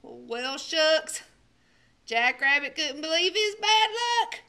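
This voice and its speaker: Children's storyteller, woman: unhurried, bright, theatrical, highly expressive delivery. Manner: theatrical, emphatic, with hushed dramatic drops. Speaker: librarian storyteller. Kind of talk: animated children's storybook narration.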